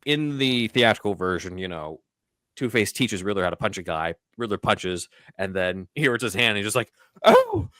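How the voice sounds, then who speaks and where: A man's voice: fairly low pitch, wildly swooping pitch, moderate pace, fairly bright tone, no room sound, studio quality. A man hosting a podcast, talking casually through a film scene by scene. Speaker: podcast host.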